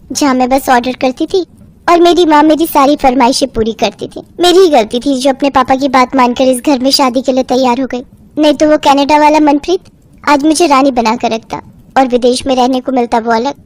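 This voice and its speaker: A woman's voice: sits high, steady tone, moderate pace, natural voice. A woman voicing an overworked, resentful young daughter-in-law who grumbles in a whiny way. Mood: resentful grumbling. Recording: background music under the voice.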